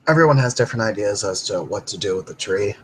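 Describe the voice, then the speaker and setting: A man's voice: on the low side, expressive, fast, a little hiss or hum, natural voice. A man playing an easygoing male werewolf who talks bluntly and swears casually, in his own voice.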